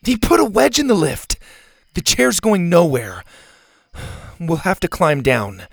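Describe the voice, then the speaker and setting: Storybook heroine, acted by a man: bold young girl, higher pitch, assertive, no-nonsense. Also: wildly swooping pitch, a little slow, creaky, dry recording, studio quality, heavily acted. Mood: blunt, practical. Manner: blunt, assertive. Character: bold, practical, feisty girl adventurer.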